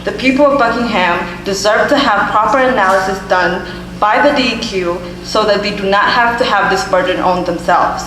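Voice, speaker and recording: A woman's voice: medium pitch, moderate pace, fairly bright tone. A nineteen-year-old woman from Ethiopia, a student giving an earnest prepared comment at a public hearing, stumbling now and then. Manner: earnest, reading a statement with some stumbles. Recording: echoey hall with background hum.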